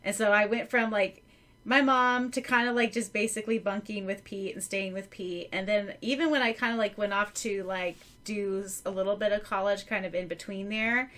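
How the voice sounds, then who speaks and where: Woman, podcast co-host, sharp bright tone, medium pitch, casual chat, animated informal storytelling, frequent asides. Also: normal speed. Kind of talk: casual chatty podcast conversation.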